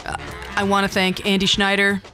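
A woman's voice: medium pitch, completely flat delivery, moderate pace, over music. A woman in her fifties hosting a podcast, telling personal stories in a candid, wry and self-deprecating conversational way. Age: 50s. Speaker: podcast host. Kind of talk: personal monologue storytelling, conversational.

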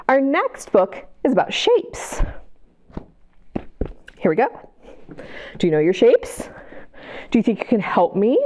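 A woman librarian reading picture books aloud at family storytime, animated and sing-song, gasping with delight and asking young listeners questions. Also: a little high. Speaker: children's librarian.

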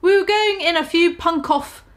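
A woman's voice: extremely high pitch, normal speed. A woman in her twenties giving a dramatic comic reading, exaggerated and mock-serious, with amused asides. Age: 20s. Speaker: online video host. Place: small room at home.

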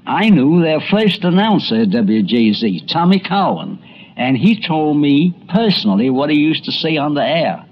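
A man's voice: a little high, big pitch swings, moderate pace, slight background noise. A man delivering scripted narration for a radio documentary. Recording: dull vintage broadcast audio.

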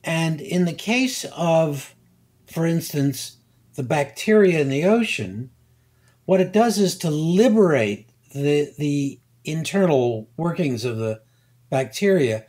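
An older man, a guest scientist, explaining slowly and deliberately, pausing and repeating words as he thinks. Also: fairly high pitch, expressive, a little mellow. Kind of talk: unhurried expert explanation.

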